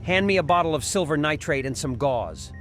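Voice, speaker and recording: A man's voice: fairly high pitch, lively intonation, fairly quick, soft background music, close to an everyday voice. A man playing a methodical, authoritative hospital doctor who gives clipped instructions in a horror audio drama. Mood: focused, commanding.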